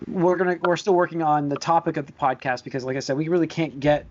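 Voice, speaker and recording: Man, podcast host, slightly high voice. Halting, hedging, conversational delivery. Dull, lo-fi sound. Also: steady tone, a little mellow. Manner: halting, hedging, repeats words. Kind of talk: casual chat about movies and shows. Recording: dull lo-fi voice recording.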